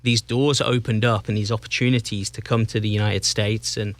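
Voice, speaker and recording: English man, Northampton accent, relaxed guest on a podcast, halting delivery, dry close mic. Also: on the low side, no echo. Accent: English, Northampton area. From England. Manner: easygoing, halting with restarts and fillers. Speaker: guest, soccer club president. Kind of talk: casual interview chat.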